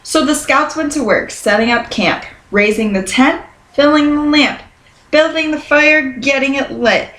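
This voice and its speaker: A woman reading a children's picture book aloud in a careful, expressive storytelling manner. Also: high voice, measured pace. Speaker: storybook reader. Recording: roomy, echoing sound.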